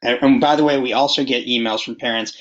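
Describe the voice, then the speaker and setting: A man's voice: medium pitch, fairly even intonation, fast, fairly bright tone. A man giving an informal update as a school board member in an online meeting. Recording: muffled video meeting audio.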